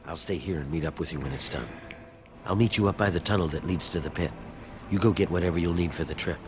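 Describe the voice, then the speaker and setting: Man acting a very deep-voiced rebel schemer in a game. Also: fast, close to an everyday voice. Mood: instructive. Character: conspiring rebel man recruiting an outsider for theft.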